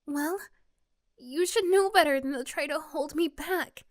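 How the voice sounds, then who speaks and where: Woman acting bossy, caring, high-voiced tsundere girlfriend type. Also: a little slow, dry recording, studio quality. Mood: assertive, caring. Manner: insistent, fussing, scolding yet tender. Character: bossy, caring tsundere young woman, teasing and protective friend. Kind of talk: ASMR roleplay acting.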